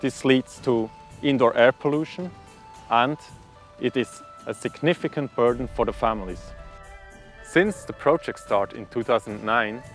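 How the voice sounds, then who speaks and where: A man in his thirties, a project manager, explaining a climate project in a calm, factual documentary interview. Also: medium pitch, a little slow, faint music behind.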